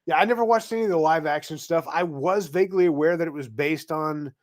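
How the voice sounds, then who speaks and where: Slightly high-voiced middle-aged man chatting. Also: fast talker. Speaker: podcast co-host. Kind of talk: casual chat.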